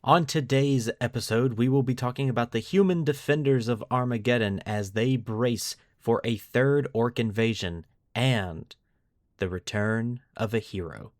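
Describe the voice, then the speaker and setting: A man hosting a lore podcast, telling the story in a deliberate, semi-scripted narrative style with dramatic pauses. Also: medium pitch, no echo, studio quality. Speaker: podcast host.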